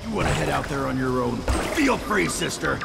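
An actor playing a brash, foul-mouthed, wisecracking soldier of fortune in a video game, with a gravelly, sarcastic, swaggering delivery. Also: male voice, on the high side, animated, brisk, heavy background noise. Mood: gruff, sarcastic. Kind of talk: video game character acting. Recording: loud music behind the voice.

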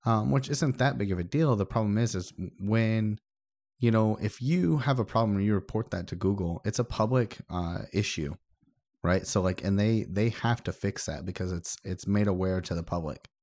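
Deep-voiced man in casual podcast chat. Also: normal speed, darkish tone. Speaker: podcast co-host. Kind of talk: casual tech discussion.

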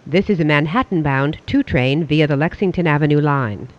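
A transit announcer reading scripted subway train announcements in a formal, measured way. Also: medium pitch. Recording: dull, lo-fi audio.

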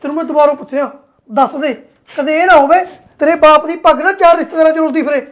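A man's voice: very high-pitched, steady tone, fast talker, fairly bright tone, muffled recording. A man playing a suspicious young husband who accuses his wife. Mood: accusing. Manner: accusing, insistent.

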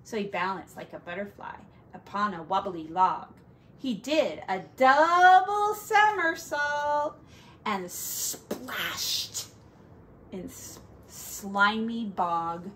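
A woman teacher reading a picture book aloud to young children, with drawn-out emphasis and playful asides. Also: mid-range voice, lively intonation, a bit bright, slight background noise.

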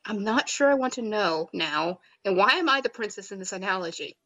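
A woman voicing a kind young college student who is earnest and quick to sympathize. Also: mid-range voice, expressive, pushed and bright, highs cut off.

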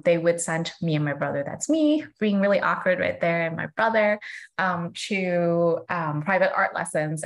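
Low-pitched woman, informal artist talk. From Hong Kong, later Hawaii. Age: 30s or early 40s. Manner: informal, self-deprecating. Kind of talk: casual, personal slide presentation. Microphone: video call.

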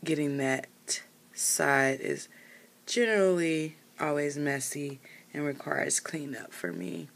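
Woman, 30s, low pitch, hesitant casual reviewer, small room, desk cam. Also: expressive, takes it slowly, vocal fry. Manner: rambling, hesitant, many fillers and self-corrections. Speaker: nail polish reviewer. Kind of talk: casual product review while painting nails.

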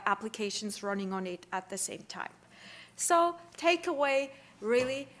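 A middle-aged woman speaks at a podium microphone in a wood-panelled lecture hall. Her voice sits a little high, and she explains in a friendly, conversational way, often restarting her phrases as she goes. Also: a bit bright. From Switzerland. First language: Swiss German. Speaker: keynote speaker. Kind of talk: conference lecture.

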